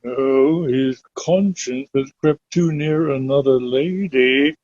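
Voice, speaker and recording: A man reading Shakespeare verse drama aloud as an amateur, in a measured, deliberate way over a dull online call. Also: medium pitch, a little mellow, dry recording.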